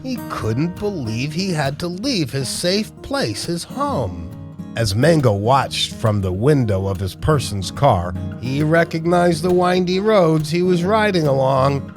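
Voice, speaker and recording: Slow-paced male storybook narrator over guitar music. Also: fairly high pitch, animated. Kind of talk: children's read-aloud narration. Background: music with plucked guitar.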